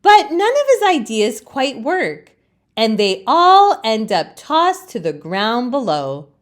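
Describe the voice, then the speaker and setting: A woman reading a children's storybook aloud as a storytime host, with expressive narration. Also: on the high side, slow pace.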